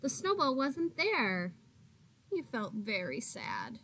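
Slow, higher-voiced woman reading to children. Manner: deliberate, expressive storytelling with drawn-out words. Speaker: teacher. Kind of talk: picture-book read-aloud for young children. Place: small indoor classroom. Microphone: laptop or webcam at arm's length.

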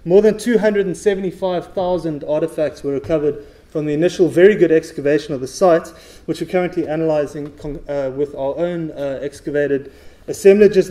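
A middle-aged man giving a conference presentation from a podium in a large auditorium, speaking in a measured way with frequent uh fillers. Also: fairly high pitch, dark tone. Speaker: researcher presenting at a talk. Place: stage of a large dark auditorium.

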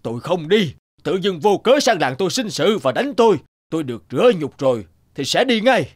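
A man's voice: sits high, sing-song, fairly quick, no room sound, studio quality. A man playing a shrewd, righteous old village man with magical powers in a cartoon, declaiming and admonishing. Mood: righteous, stern. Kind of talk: cartoon voice acting.

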